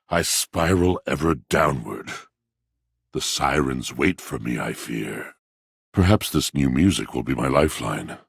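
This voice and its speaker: Video game role: a haughty, gloomy literary-snob appliance. Low, rough voice, theatrical and archaic delivery, close dry sound. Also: male voice, no room sound, heavily acted. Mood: melancholy, moved. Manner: pompous, archaic, florid, brooding diction. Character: pompous, melancholy old-fashioned talking washing machine, male, literary snob.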